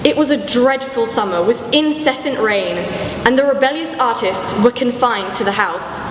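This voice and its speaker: A woman's voice: fairly high pitch, lively intonation, normal speed. A young woman reading scripted, documentary-style narration in an echoey hall with background noise. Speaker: pupil narrator.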